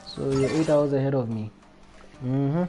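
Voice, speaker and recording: A man's voice: medium pitch, unhurried. Casual male game streamer over music. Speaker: game livestreamer. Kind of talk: casual chat with viewers while playing. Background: video game music.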